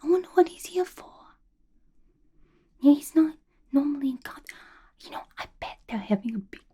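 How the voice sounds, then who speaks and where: A woman voicing a scatterbrained, gossipy, clingy young female villain in a breathy, intimate ASMR whisper, chatty and playful with slangy grammar. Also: sits high, expressive, normal speed, dark tone. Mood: gossipy, teasing. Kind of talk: ASMR roleplay, whispered to the listener.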